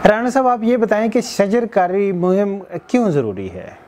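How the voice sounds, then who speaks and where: A Pakistani man hosts a local news program, his voice pitched a touch above most men. He asks his guest courteous, formal questions that lift in pitch at the end. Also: wildly swooping pitch, moderate pace, darkish tone, slight background noise. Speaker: TV show host.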